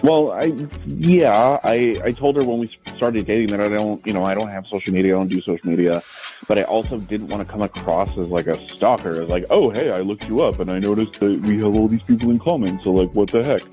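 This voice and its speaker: Deep-voiced man calling in. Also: brisk, mellow, faint music behind, low-quality recording. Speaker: caller to a radio show. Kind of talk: casual conversational explanation.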